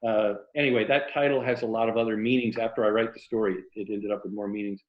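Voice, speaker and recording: A man's voice: fairly low pitch, steady tone, quick pace. American man on a roomy, muffled call. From Tampa, Florida, USA. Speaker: writer, interview guest. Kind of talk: casual conversation, then reading own novel excerpt aloud. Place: indoors in an old house, on a video call.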